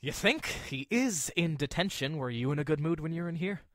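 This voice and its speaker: A man's voice: a little high, lively intonation, a little fast, dry recording, clean studio sound, natural voice. Man voicing an earnest, flustered young lawyer. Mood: wry. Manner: exclamatory, wry, earnest. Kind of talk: anime-style fan audio drama acting.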